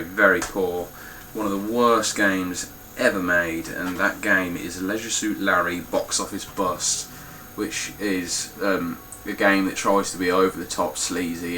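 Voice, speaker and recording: A man's voice: deep voice, bright, forceful tone, slight background noise. A man with a stuffy, nasal voice giving a casual, blunt spoken review of his game collection, with frequent ums and some swearing.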